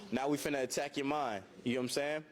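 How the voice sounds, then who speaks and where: A man hosting a street dating game, slangy and brisk, saying "bet" a lot. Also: medium pitch, fairly even intonation. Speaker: street dating show host. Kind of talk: casual street interview. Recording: noisy clip with onlookers.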